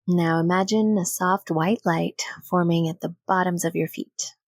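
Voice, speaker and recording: A woman leading a guided meditation in a gentle, soothing, unhurried manner with long pauses between phrases. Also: fairly low pitch, fairly even intonation, no echo.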